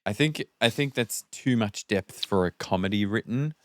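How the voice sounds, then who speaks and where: A man's voice: on the low side, moderate pace, dry recording. A man talking casually as a podcast co-host, joking and a little self-deprecating.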